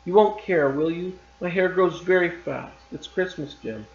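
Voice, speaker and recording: A man's voice: sits high, normal speed, vocal fry, pitched above the performer's own voice. A man voicing a young loving wife, speaking in an earnest, pleading way. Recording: roomy, slightly dull recording.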